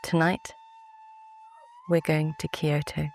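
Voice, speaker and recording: A woman with a British accent gently narrates a guided sleep meditation in a soft, calm, soothing voice. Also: low voice, slow pace, slight background noise.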